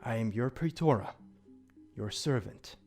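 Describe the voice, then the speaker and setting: Man acting as calm immortal demon, soft dark timbre, solemn, formal old-fashioned phrasing, audio drama, faint music behind. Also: mid-range voice, vocal fry. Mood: courteous, devoted. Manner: formal, measured, archaic phrasing.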